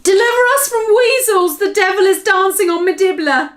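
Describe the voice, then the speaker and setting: A woman reading a storybook aloud and voicing an excitable, friendly giant who cries out in mangled grammar. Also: on the high side, moderate pace, pitched above the performer's own voice, lightly acted. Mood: anguished. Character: big friendly giant, excitable old male.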